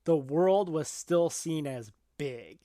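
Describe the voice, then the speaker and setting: A man's voice: on the high side, lively intonation, slow pace, mellow, no room sound, studio quality. A man co-hosting a podcast, explaining his points casually and often checking that the listener follows. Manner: explanatory, checks in with "right?" and "you know?". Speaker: podcast co-host.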